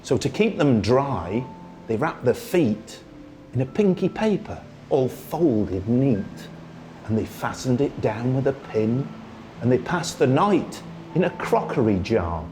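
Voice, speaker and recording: A man reciting a children's poem as a storyteller, in a theatrical, singsong way with drawn-out phrasing. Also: mid-range voice, big pitch swings, a little mellow, faint music behind. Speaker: storyteller, radio presenter. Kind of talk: expressive children's poetry recitation.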